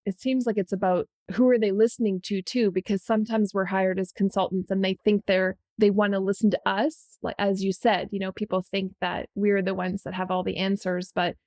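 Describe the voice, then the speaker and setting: A woman's voice: medium pitch, level tone, fairly quick, hardly any pauses, a little mellow, no echo. A woman hosting a podcast interview, thinking aloud, reflecting on what her guests say and checking in with them. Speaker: podcast host. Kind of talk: conversational interview.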